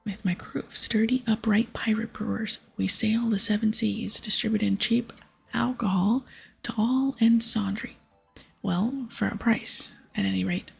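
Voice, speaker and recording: A gruff male pirate captain from a video game, acted out by a woman, speaks in a very breathy, half-whispered rasp. The delivery is dramatic and full of old sea-dog turns, with deep fry in the voice and music behind it. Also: fairly even intonation, a little slow, full character voice. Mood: grandiose, grave. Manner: archaic pirate talk with arrs and ayes. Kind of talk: ASMR video game dialogue read-aloud.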